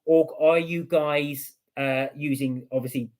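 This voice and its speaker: A man's voice: fairly high pitch, steady tone, measured pace, a little mellow. A man co-hosting a live stream from home, chatting casually and reading out viewer comments, rambling with fillers and restarts. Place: home room with a heater.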